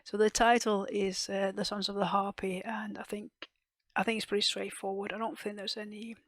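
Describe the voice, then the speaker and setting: A woman reviewing a TV episode in casual chat, losing her voice, often clearing her throat and hesitating with ums. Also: mid-range voice, level tone, moderate pace, no echo. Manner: hesitant, many ums and restarts, soft half-whispered delivery. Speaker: TV reaction and review host.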